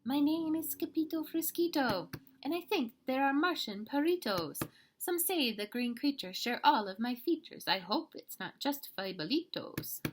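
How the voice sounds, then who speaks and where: An excitable little kitten boy from a storybook, playing space hero as acted out by a woman reader. The voice is high, bright and swooping, with a theatrical Mexican Spanish accent and a singsong, exclaiming delivery. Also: takes it slowly, a little hiss or hum, heavily acted. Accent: put-on Mexican Spanish.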